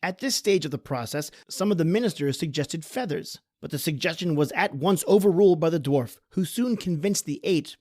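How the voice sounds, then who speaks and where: A male narrator reads a gothic horror tale. His voice is a little higher than average, with measured, formal delivery. The recording is dry, with no room sound. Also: a little mellow, no echo.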